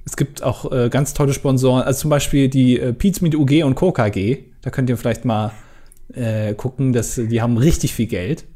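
A man's voice: mid-range voice, steady tone, normal speed, warm tone. A man co-hosting a casual podcast, rambling with lots of hesitations and dry jokes. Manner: rambling, hesitant, many ums and self-interruptions, dry jokes. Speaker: podcast co-host.

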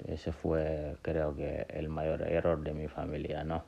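Young man from Gambia, podcast host, slow and gravelly, telling his life story with fillers and restarts. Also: extremely low pitch, completely flat delivery, dark tone. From Gambia and Senegal. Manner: halting, many fillers and restarts. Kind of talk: casual personal monologue. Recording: dull lo-fi sound with faint noise.

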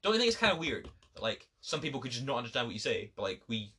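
A man's voice: mid-range voice, sing-song, rapid-fire, sharp tone, husky. A teenage boy with a Scottish accent, co-hosting a podcast in casual, joking chat with friends, often tripping over his words. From Scotland. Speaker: podcast co-host.

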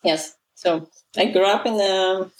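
A woman's voice: mid-range voice, steady tone, slow pace, poor audio. A woman, a guest in an interview, talking casually with many fillers and restarts. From Israel. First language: Russian.

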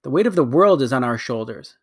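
A man voicing a proud, ruthless queen with a slow, stately, commanding delivery. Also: medium pitch, animated, dry recording, studio quality. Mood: imperious.

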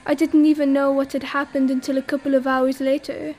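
A woman's voice: sits high, flat delivery, brisk, a little mellow. A young woman from France telling her story in an audio drama, wry and self-mocking, laughing as she talks. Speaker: exile interviewed about a disaster. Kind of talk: acted monologue in an audio drama.

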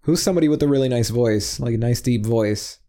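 A man's voice: medium pitch, fast talker, dark tone. A young man chatting casually on a podcast over a headset mic, rambling with lots of fillers and swearing freely. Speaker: podcast co-host. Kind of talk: casual chat.

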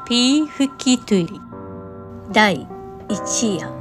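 Slow female announcer over loud music. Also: a little high, sing-song. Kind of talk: scripted show intro and credits.

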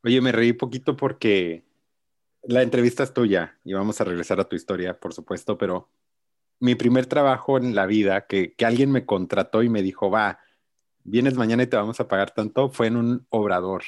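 Low-voiced podcast host. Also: male voice, moderate pace, no echo, clean studio sound. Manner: chatty, friendly interviewer. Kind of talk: casual interview chat.

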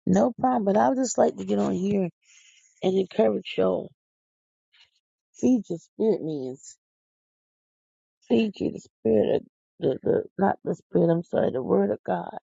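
Tired silly philly woman rambling on her podcast, mellow voice, dull dry lo-fi sound. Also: mid-range voice, normal speed, creaky, pauses often, breathy edge, no echo. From Philadelphia. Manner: silly, goofy, folksy, exclamatory. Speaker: podcast host. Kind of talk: casual rambling monologue to listeners.

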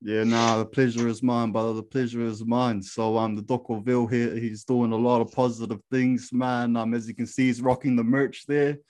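A man hosting a podcast interview, relaxed and slangy, often calling the guest "man" and "bro". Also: medium pitch, normal speed, hardly any pauses. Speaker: podcast host.

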